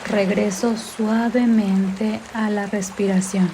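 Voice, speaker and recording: A woman narrating a guided mindfulness meditation in a calm, gentle, soothing way, giving unhurried instruction. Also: mid-range voice, background noise. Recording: clear water and sea ambience behind. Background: sea sounds and background music.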